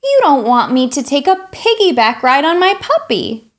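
A woman voicing an excitable, pleading young pigeon in a children's picture book, dramatic, whiny and exclamatory. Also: sits high, normal speed. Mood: sulky, whiny.

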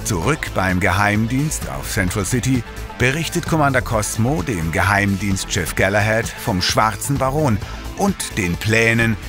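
A man's voice: fairly low pitch, lively intonation, a little slow, over music. A man reading dramatic scripted narration for a sci-fi audio drama.

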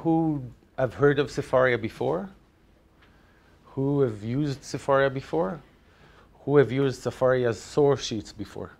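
A man's voice: medium pitch, a little slow, gravelly, darkish tone. A middle-aged man with an Israeli accent, a native Hebrew speaker, giving a chatty, hesitant presentation at a teachers' workshop in a lecture room. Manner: chatty, hesitant, many ums and restarts. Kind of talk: conference presentation. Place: lecture room with projector screen.

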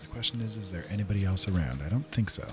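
A man's voice: sits low, lively intonation, racing. A man doing casual let's play commentary as a gaming host, thinking aloud as he plays. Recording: game music behind voice. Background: game music and outdoor nature sounds.

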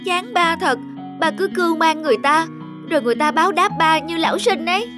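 High-voiced, indignant young daughter. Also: female voice, brisk, music in the background. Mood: worried reproach. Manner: reproachful, emphatic. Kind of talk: cartoon drama acting.

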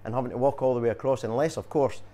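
An older man speaks bluntly, grumbling about local matters. He talks at a quick clip, in an indoor bar. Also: mid-range voice, steady tone, dark tone. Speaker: local business owner.

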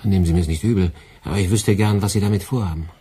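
An actor playing a dry, sardonic middle-aged radio department head with a husky, measured, ironic delivery in a radio play. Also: male voice, deep voice, warm tone. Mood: curious. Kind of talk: German radio play acting.

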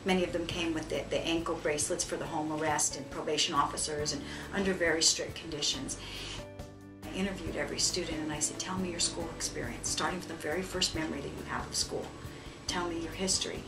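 A woman's voice: low-pitched, fairly even intonation, fast. A middle-aged woman with an American accent, a school principal speaking in an interview. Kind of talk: interview testimonial. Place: small office, indoors. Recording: roomy, distant mic, music behind.

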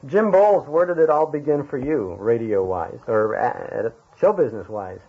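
A man with a rough, husky voice of medium pitch conducts a relaxed conversational interview. The sound is dull and lo-fi. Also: normal speed.

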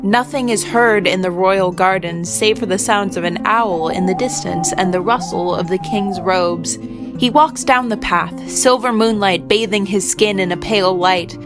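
Woman narrating suspenseful story over guitar music. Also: mid-range voice. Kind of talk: scripted dramatic storytelling narration. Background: plucked guitar music.

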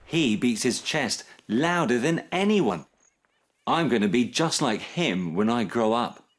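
A man's voice: mid-range voice, lively intonation, a little slow, close to an everyday voice. A man voicing a proud young animal in a children's story, boastful and childlike. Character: proud young animal who boasts of a chest-beating father.

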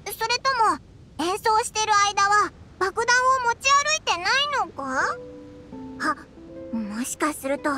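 A woman voicing a tiny, cheeky floating girl companion in a game, with a squeaky childlike voice and blunt, boyish, excitable talk. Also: measured pace, full character voice. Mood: chatty. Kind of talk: game voice acting. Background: game soundtrack music.

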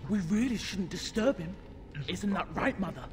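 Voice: mid-range voice, animated, moderate pace, soft background music, close to an everyday voice. A performer playing a demon-possessed young boy in a fantasy game, haughty, mocking and commanding. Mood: petulant menace.

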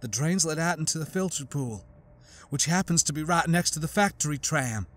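A man's voice: lively intonation, normal speed, a bit bright. A man reading an audiobook, voicing an injured, grief-stricken female scientist and mother who pleads and wails in pain. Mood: bewildered. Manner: pained, pleading, turns frantic and shrill. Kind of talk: audiobook character voice.

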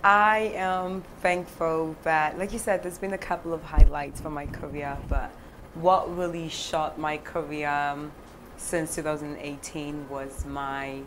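A young woman from Ghana speaks in a low, deep voice, chatting as a guest on a studio set. She laughs often and talks with animated gestures, with steady noise in the background. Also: measured pace. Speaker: guest filmmaker. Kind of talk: relaxed interview talk. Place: studio set, seated on sofa.

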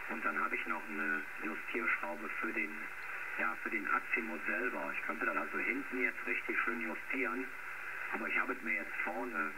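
Man over very noisy shortwave radio. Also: fairly low pitch. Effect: shortwave radio transmission. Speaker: radio amateur. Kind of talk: casual two-way radio chat. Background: loud radio static.

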